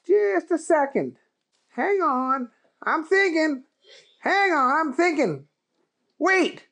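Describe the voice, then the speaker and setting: A squeaky, excitable cowboy fellow who stretches every word into a slow, hollering drawl. The voice leaps up and down and has a gravelly edge underneath. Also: exaggerated voice. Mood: excited. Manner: drawn-out hollering, very high.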